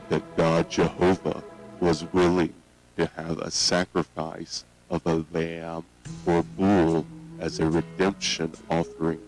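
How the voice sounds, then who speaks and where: Elderly cult patriarch, played by a man, extremely low voice, slow and solemn preaching for a children's horror tape. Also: poor audio. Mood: solemn, explanatory. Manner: solemn, deliberate, sermonizing.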